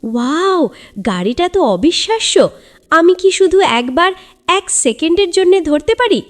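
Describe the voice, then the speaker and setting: Woman playing earnest, high-voiced little cartoon boy. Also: very animated, measured pace, full character voice. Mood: awed, hopeful.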